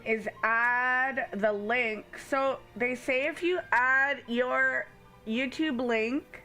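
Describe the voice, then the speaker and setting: Chatty woman streamer over soft music. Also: a little high. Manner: chatty, informal, addresses viewers directly. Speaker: game streamer, host. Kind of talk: casual livestream chat to viewers. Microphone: headset.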